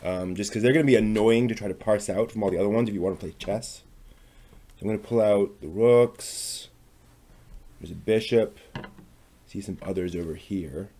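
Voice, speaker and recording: A man casually thinking aloud as he works on a craft, muttering to himself in short, unhurried phrases. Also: fairly low pitch, dark tone. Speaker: maker hosting a craft workshop video.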